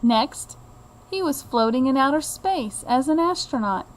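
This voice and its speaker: A woman reads a children's storybook aloud. Her voice sits a little high and she takes her time, very slow and deliberate. The delivery is expressive, in the manner of a teacher reading to a child. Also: poor audio.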